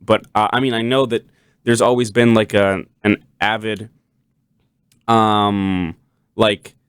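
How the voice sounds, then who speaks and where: A man's voice: fairly low pitch, level tone, takes it slowly, clean tone, no echo. A young man from Puerto Rico hosting a podcast, talking casually in long, rambling sentences full of "like" and "kinda.". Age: young adult. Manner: rambling, many restarts and "like", "kinda". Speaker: podcast host. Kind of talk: casual conversation.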